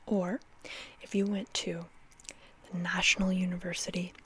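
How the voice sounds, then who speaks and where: A woman's voice: lowish voice, flat delivery, normal speed, fairly bright tone, slight background noise. A young woman whispering close to the mic in a playful, chatty ASMR sleep talk, often repeating words. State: dry mouth. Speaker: ASMR host.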